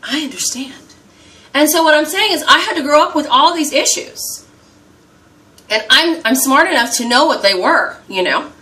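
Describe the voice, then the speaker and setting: A woman's voice: high voice, animated, normal speed, bright, forceful tone, far from the mic. An American woman talking casually to the camera while doing her makeup, emphatic and repetitive, often asking "okay?". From United States. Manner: emphatic, repeats herself, keeps asking "okay?". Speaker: vlogger.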